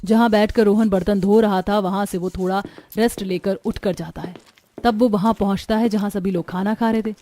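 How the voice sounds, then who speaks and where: A woman's voice: medium pitch, fairly even intonation, normal speed, dark tone, dry recording. A woman narrator reading a scripted family story for a cartoon.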